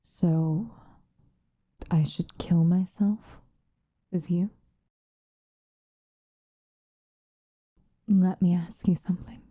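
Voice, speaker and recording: Woman acting as obsessive yandere woman, very dark soft timbre, slow breathy whisper, desperate and possessive, intimate ASMR roleplay. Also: on the low side, steady tone, dry recording, low-quality recording. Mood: hurt, searching.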